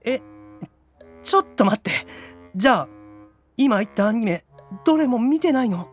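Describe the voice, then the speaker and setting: A man playing an anxious, excitable young otaku demon brother in an anime-style audio drama, theatrical, moving between awkward muttering and gushing squeals. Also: extremely high pitch, normal speed, faint music behind. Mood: deflated, embarrassed.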